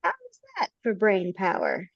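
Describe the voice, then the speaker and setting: A woman's voice: mid-range voice, wildly swooping pitch, a little slow, vocal fry, no echo, pitched below the performer's own voice, heavily acted. A woman voicing a sarcastic talking bird-hat that heckles everyone, over slightly dull video-call audio. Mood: snarky. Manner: snarky, wisecracking.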